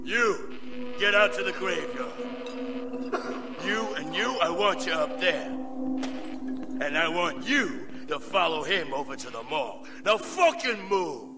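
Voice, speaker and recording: An actor playing a harsh, aggressive, foul-mouthed commander barking orders in a video game. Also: male voice, high-pitched, expressive, takes it slowly, pauses often. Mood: menacing, commanding. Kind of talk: dramatic video game voice acting. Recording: loud music over the voice.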